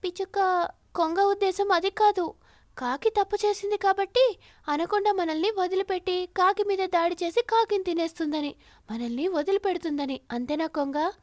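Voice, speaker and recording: Woman as cartoon parrot, very high pitch, accusing, pointed, dry recording. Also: moderate pace, darkish tone, no echo. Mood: explaining pointedly. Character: sharp-tongued, accusing parrot in a children's bird cartoon.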